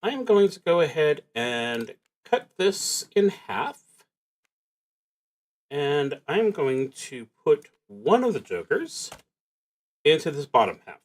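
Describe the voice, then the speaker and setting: A man hosting a solo tabletop roleplaying stream, casually explaining rules and haltingly thinking aloud as he reads. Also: fairly high pitch, very animated.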